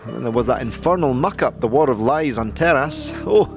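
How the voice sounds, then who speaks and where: A man's voice: mid-range voice, lively intonation, brisk, pitched above the performer's own voice, natural voice. A man voicing a jovial, weary old war veteran in a fantasy audio drama, rambling in a folksy way. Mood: jovial, reminiscing. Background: prominent background music.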